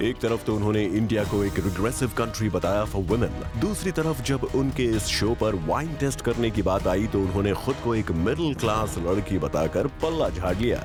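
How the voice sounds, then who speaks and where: Low-voiced Indian man narrating gossip news. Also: lively intonation, brisk, hardly any pauses. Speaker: news report voice-over narrator. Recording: music behind the voice. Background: music.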